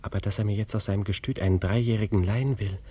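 An actor playing a proud but self-doubting young cavalry officer in a German radio drama, brooding and questioning himself. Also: male voice, on the low side, steady tone, racing, mellow, breathy edge, recorded from a distance. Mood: brooding, agitated.

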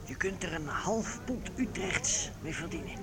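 A man's voice: high-pitched, lively intonation, a little slow, gravelly, exaggerated voice. A man acting in a period radio drama as an affable, persuasive, scheming servant of a medieval nobleman. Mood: conspiratorial, persuasive. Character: scheming, smooth-talking medieval nobleman's servant offering bribes. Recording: tavern or street crowd behind voice.

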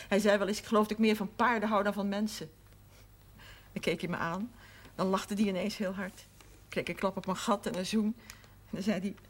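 A woman's voice: medium pitch, slight background noise, lightly acted. An actress playing a wistful, reflective woman in a naturalistic seventies television drama, speaking in a subdued manner. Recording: 1970s television soundtrack with some music.

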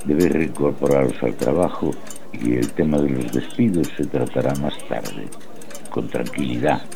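An actor playing a calm, condescending company director in a radio drama, speaking in a slow, measured way. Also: male voice, a little hiss or hum, heavily acted. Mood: patronizing. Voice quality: deep gravelly.

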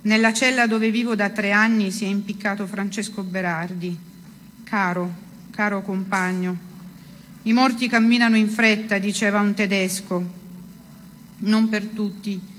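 A woman's voice: mid-range voice, steady tone, a little slow, slight background noise. A woman giving a dramatic literary reading of first-person prose. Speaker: reader of a literary text.

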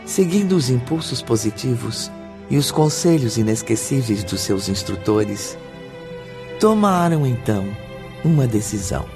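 A man narrating a radio drama with formal, measured, scripted storytelling. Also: medium pitch, big pitch swings, over music.

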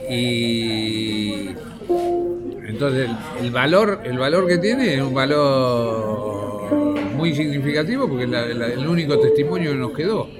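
A man with an Argentine accent recalling the past informally, halting and repeating words. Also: medium pitch, expressive. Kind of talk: informal interview recollection. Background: loud music.

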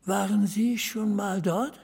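A blunt small-town boss in an audio drama. His fairly high voice is heavily creaky, and he gives orders dryly and without fuss. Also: fairly even intonation, slow pace, a little mellow, heavily acted. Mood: brisk, directing. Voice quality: husky, gravelly. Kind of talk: naturalistic German audio drama acting.

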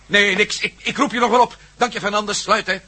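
An actor in a Dutch radio play voicing an anxious, restless adventurer who speaks haltingly and repeats himself. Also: male voice, high voice, fairly even intonation, sharp tone, slight background noise. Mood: agitated, disbelieving. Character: Matt Meldon, anxious, restless, doubting male adventurer.

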